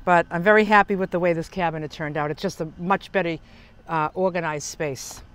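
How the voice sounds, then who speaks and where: A woman's voice: on the low side, fairly even intonation, fairly quick, clean tone. An older woman giving a casual, chatty how-to tour as a vlogger, explaining things as she works with her hands. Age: older, senior. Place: outdoors at the open side door of a camper van. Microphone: small clip-on lavalier mic.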